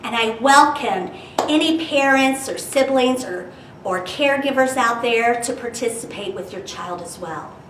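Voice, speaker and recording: A woman reading aloud as a children's storyteller, expressive and dramatic, talking to young children. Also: a little high, a little slow. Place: indoor room, virtual storytime. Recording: roomy, distant mic with background noise.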